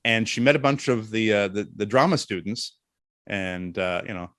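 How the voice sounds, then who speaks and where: A middle-aged man chatting casually as an interview guest, telling jokey anecdotes in an animated way. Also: fairly low pitch, normal speed, no echo, clean studio sound.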